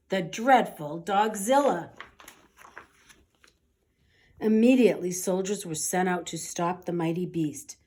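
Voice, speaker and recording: A woman narrating a children's story aloud in an expressive, dramatic and deliberate way. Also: lowish voice. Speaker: storybook reader.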